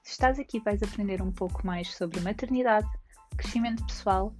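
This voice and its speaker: A woman's voice: medium pitch, moderate pace, a little mellow, faint music behind. A woman with a Lisbon Portuguese accent hosting a podcast, chatting casually with a guest and laughing often. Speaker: podcast host. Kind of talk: casual conversational interview. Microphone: remote recording.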